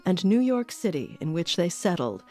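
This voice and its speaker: A woman's voice: fairly low pitch, sing-song, moderate pace, mellow. A woman reading scripted documentary narration as the host of an audio series. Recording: music under the voice.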